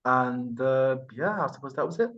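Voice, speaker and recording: A man in his thirties from Libya answers exam questions at an even pitch. He weighs his words, restarts sentences and fills pauses with ums. The audio is dull and lo-fi, like a video call. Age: 30s. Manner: hesitant, thoughtful, many fillers and restarts. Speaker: IELTS test candidate and channel host. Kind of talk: mock speaking exam over video call.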